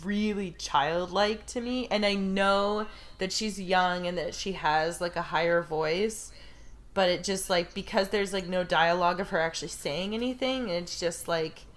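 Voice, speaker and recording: A woman speaks as a podcast co-host in relaxed conversation. Her pitch is a little low, and she phrases her opinions tentatively. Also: a little slow. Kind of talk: casual podcast chat.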